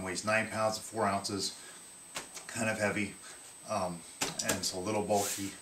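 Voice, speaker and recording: A man in his thirties casually showing his outdoor gear from a table in his living room, pausing often with ums and restarting his sentences. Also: fairly low pitch, pushed and bright, reverberant, far from the mic, a little hiss or hum. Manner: halting, many ums and restarts.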